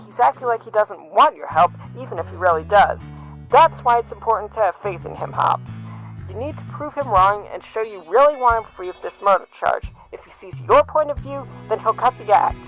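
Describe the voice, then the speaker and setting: A woman playing a calm, reassuring, experienced lawyer mentor in an amateur audio drama, with music behind. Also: mid-range voice, low-quality recording. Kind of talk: fan audio drama acting.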